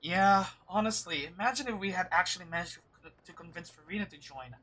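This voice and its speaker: A man streaming a game, reacting casually and musing aloud in a hesitant, halting way. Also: high voice, sharp tone, breathy edge.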